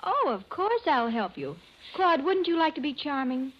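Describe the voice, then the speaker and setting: A woman's voice: sits high, sing-song, normal speed. An actress playing a charming, obliging young lady in vintage radio comedy. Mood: coy, obliging. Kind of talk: 1930s-40s radio comedy acting. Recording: muffled old radio recording.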